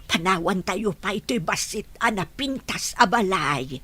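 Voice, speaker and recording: A woman's voice: mid-range voice, animated, measured pace, pushed and bright, husky, heavily acted. A woman playing a confused, forgetful old grandmother in an audio drama, rambling and insistent.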